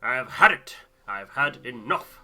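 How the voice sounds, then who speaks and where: A man voicing a fussy, exasperated gentleman from a polar expedition game, speaking formally and emphatically. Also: mid-range voice, expressive, takes it slowly, exaggerated voice. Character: fed-up, fussy expedition gentleman demanding privacy.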